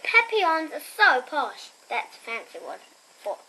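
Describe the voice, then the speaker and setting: A young girl reading a picture book aloud expressively, with chatty, quieter asides to her listeners. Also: sits high, sing-song, a little slow, poor audio. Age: child. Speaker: storybook reader and host.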